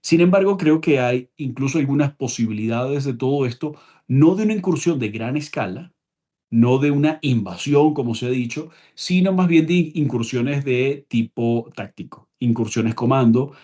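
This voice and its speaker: A man with a rough, husky voice at a middling pitch. He speaks as an expert analyst in an interview, laying out points emphatically and reworking his phrases as he goes. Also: normal speed, warm tone. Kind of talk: spoken political analysis in an interview.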